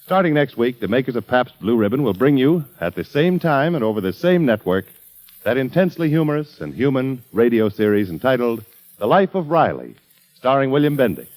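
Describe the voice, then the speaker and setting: A man's voice: mid-range voice, big pitch swings, fairly quick, darkish tone, low-quality recording. A man working as the announcer of a 1940s radio broadcast, giving a formal, polished sponsor read. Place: radio studio.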